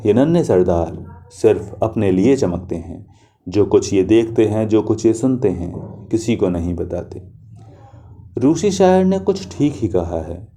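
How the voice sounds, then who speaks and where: A young adult man tells a literary story in the first person, reading slowly and reflectively. His voice sits low and sounds very dark and mellow.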